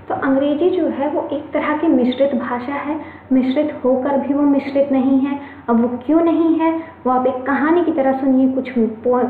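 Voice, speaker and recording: An Indian woman gives an online class in a higher voice, chatty and reassuring, going back over each point. Her voice comes through tinny and phone-like. It rings in an echoey room with faint noise behind it. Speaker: online English teacher. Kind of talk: Hindi-English mixed classroom lecture.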